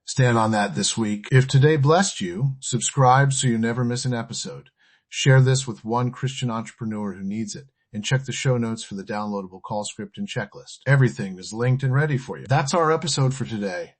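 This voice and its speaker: Man hosting a faith podcast, short emphatic phrases. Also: mid-range voice. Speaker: podcast host. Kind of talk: scripted motivational teaching with prayers.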